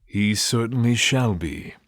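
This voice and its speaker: A man's voice: fairly low pitch, measured pace, fairly bright tone, no room sound, studio quality. A man acting a proud, easily offended inventor god in a fantasy audio drama, with formal, defensive phrasing. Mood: cool. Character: proud, touchy male inventor god guarding his domain.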